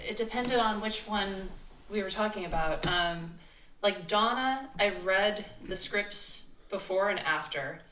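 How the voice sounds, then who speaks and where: A woman chatting casually as a guest, telling stories in an animated way and quoting people as she goes. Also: on the low side, a little hiss or hum. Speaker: voice actress, interview guest. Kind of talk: casual chat. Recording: echoey room, distant from mic.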